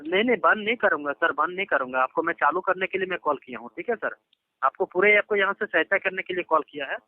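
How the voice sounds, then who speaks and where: Male phone agent, fast and persistent, formal and soothing patter, dry narrow phone-line audio. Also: high-pitched, animated, no echo. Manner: insistent, repetitive, reassuring, addressing the listener formally. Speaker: phone caller posing as bank support. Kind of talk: persuasive phone call. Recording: lo-fi telephone line recording. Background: phone call audio.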